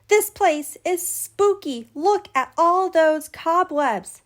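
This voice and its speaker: A woman voicing an excitable, easily spooked young boy mouse in a storybook. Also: very high voice, slow pace, pitched above the performer's own voice, heavily acted. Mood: awed.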